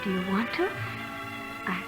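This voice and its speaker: A woman's voice: medium pitch, sing-song, unhurried, loud music behind the voice. An actress playing a polite, hesitant young college woman in a 1940s radio drama. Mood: shy, evasive. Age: young adult.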